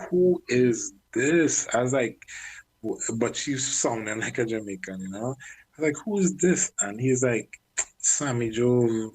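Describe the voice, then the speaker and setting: A man working as a music manager, chatting candidly in an interview and often clearing his throat. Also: mid-range voice, low-quality recording. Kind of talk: casual interview talk.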